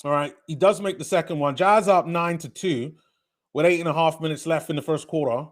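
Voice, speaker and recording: A man with a British accent blended with North American, hosting a casual live watch-party stream, chatting with viewers and getting excited on big plays. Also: a little high, fairly quick. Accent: British mixed with North American. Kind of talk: live casual game commentary and chat.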